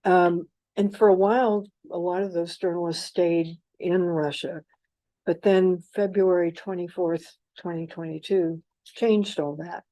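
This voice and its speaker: A woman moderating a live panel discussion, speaking informally in halting phrases with asides. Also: on the low side, fairly even intonation, warm tone, no echo. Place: live panel event at a university.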